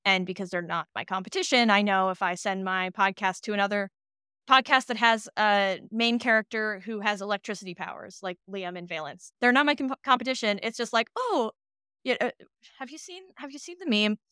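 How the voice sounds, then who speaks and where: A woman with a strikingly clean, bright voice talks casually as a podcast producer being interviewed. She tells things in an animated way, voicing imagined exchanges. The sound is dry, with no room echo. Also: medium pitch, fairly quick, clear, resonant tone. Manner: animated storytelling, acts out imagined dialogue.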